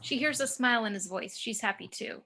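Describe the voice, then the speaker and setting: A woman's voice: on the high side, a little fast, bright, forceful tone, slight background noise. A woman reading screenplay action descriptions as scripted narration for a table read.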